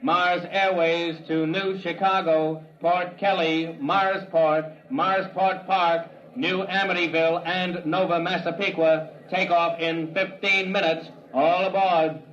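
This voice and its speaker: An actor playing a spaceport loudspeaker announcer who reads departures in an official drone. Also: male voice, a little high, level tone, slow pace, few pauses. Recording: dull old radio transcription.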